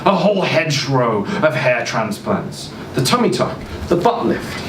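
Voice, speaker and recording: A man giving an expressive live reading of a first-person story, now and then stumbling over words. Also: medium pitch, measured pace. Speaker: reader performing a short story at a live literary event. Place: indoor social venue, live event. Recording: roomy, distant mic, clear ventilation noise.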